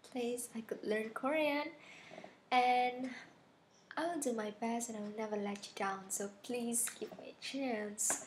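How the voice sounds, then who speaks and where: A woman's voice: a little high, a little mellow, slight background noise. A woman in her twenties whose first language is Indonesian, speaking English with an Indonesian accent in a careful, earnest self-introduction, recorded on a webcam in a small bedroom. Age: 20s. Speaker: scholarship applicant. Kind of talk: prepared self-introduction video. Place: small bedroom with patterned wallpaper, indoors. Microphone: webcam at desk distance.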